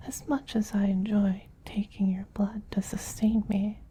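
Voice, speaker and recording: Man as a whispering, breathy, doting vampire queen. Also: high voice, level tone, dark tone, heavily acted. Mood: tender, gently teasing. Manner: slow, tender, coaxing endearments. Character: soothing, possessive, doting femboy vampire queen. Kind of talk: soft-spoken whispered ASMR roleplay.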